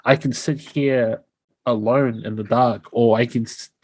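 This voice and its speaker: A man's voice: medium pitch, steady tone, unhurried, darkish tone, breathy edge. Effusive New Zealand man hosting a podcast. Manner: effusive, appreciative. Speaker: podcast host interviewer. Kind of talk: casual podcast chat.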